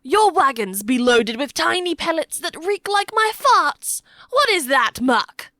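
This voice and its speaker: A woman's voice: high-pitched, sing-song, unhurried, bright, forceful tone, no room sound, studio quality, pitched above the performer's own voice, full character voice. A woman voicing a coarse, balding soldier man in an audiobook, with a blunt, rustic way of talking.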